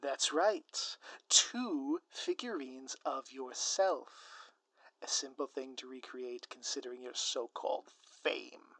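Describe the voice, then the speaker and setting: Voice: medium pitch, slow pace, fairly bright tone, dry recording, poor audio. A performer voicing a scheming, smug ex-supervillain spouse in a scripted audio roleplay, with a theatrical, sardonic, grandiloquent manner. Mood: smug, teasing. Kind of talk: scripted audio roleplay monologue addressed to listener.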